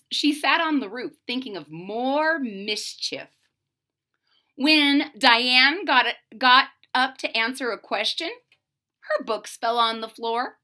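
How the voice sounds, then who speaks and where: A woman's voice: sits high, sharp tone. A woman reading a children's picture book aloud expressively, with explanatory asides to her young listeners. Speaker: storybook reader.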